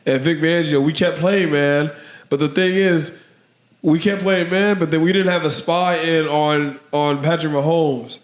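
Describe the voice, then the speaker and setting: A man co-hosting a podcast, slightly hoarse from allergies, rambling through casual sports talk with lots of "man" and "bro". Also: a little high, fairly even intonation, measured pace, roomy sound. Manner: rambling, filler-heavy, says "man" and "bro". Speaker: podcast co-host.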